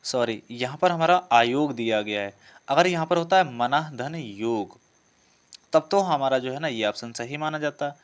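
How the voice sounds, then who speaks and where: A man's voice: medium pitch, animated, normal speed. A man teaching a test-prep lesson, working through questions didactically and repeating key phrases. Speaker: exam coaching teacher.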